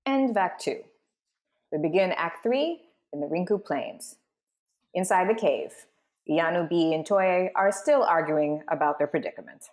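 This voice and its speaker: Articulate woman host with a lowish voice. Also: animated, moderate pace, a little mellow. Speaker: voice director and host. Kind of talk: table-read narration and explanatory hosting.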